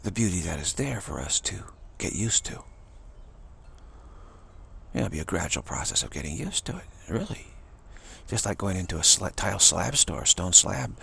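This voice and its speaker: A man giving a rambling, informal spiritual monologue in short, halting phrases that trail off. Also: low-pitched, big pitch swings, vocal fry, sharp tone, a little hiss or hum. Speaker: spiritual vlogger.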